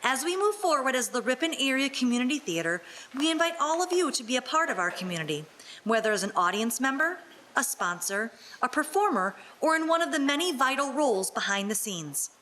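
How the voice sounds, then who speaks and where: A woman's voice: high voice, sing-song, fairly quick, sharp tone, rough recording. A woman reading scripted radio announcements and narration.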